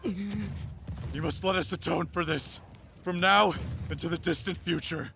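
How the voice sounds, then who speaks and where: A man's voice: high-pitched, unhurried, vocal fry, bright, forceful tone, soft background music, exaggerated voice. A man voicing a solemn, reverent animal leader with a formal, earnest manner in a fan dub. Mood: urgent pleading. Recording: echoey hall, faint background noise.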